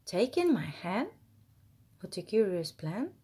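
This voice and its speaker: A woman voicing a fretful, dramatic little old lady in a rhyming children's storybook, complaining in drawn-out wails. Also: medium pitch, very animated, dark tone, slightly rough, pitched below the performer's own voice, heavily acted. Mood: pleading, puzzled. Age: older.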